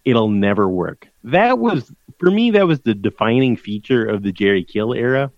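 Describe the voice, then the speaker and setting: A man's voice: mid-range voice, very animated, moderate pace, no room sound, dull audio. Dry-sounding podcast man talking football stats. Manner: conversational, stat-heavy, restarts mid-sentence. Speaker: podcast co-host, college football correspondent. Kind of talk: casual sports podcast chat.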